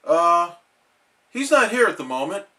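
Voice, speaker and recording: Casting: man acting out a dim male cartoon starfish, self-important and emphatic, with lively, bright delivery. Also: sits high, unhurried, exaggerated voice. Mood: evasive.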